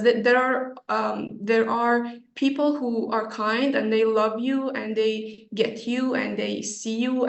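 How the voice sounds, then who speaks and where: A woman's voice: fairly high pitch, vocal fry, dull audio. A young woman with an Afghan accent, an audience member speaking earnestly and hesitantly as she asks a question. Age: young adult. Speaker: student asking a question. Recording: roomy echoing video call.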